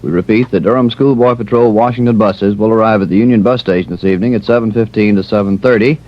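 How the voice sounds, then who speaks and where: Guy doing an old radio news bulletin, kinda deep, formal, muffled audio. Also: steady tone, quick pace. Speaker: radio announcer.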